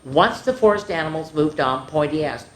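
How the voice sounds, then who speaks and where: A woman reads a children's picture book aloud with lively, animated delivery. She breaks off for friendly asides to the young listeners. The room gives her voice a roomy echo. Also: low voice, normal speed. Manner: animated, chatty asides to kids. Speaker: children's storyteller.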